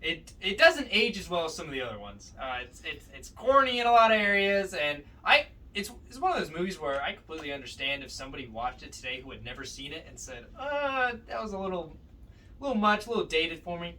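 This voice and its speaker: Bright, higher-voiced man, a bit off-mic. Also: animated, fast, a little hiss or hum. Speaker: podcast co-host. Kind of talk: casual film ranking chat. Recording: slightly distant microphone.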